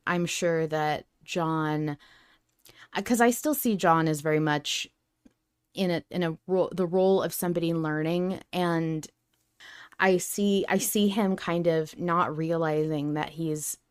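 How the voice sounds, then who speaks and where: Low-pitched woman chatting on a podcast. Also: measured pace, clean tone, dry recording, studio quality. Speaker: podcast co-host. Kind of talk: casual discussion of a fiction podcast.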